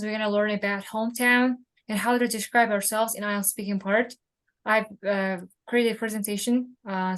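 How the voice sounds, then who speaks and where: A woman's voice: fairly high pitch, rough recording. A woman teaching an online speaking lesson over a video call, explaining with many fillers.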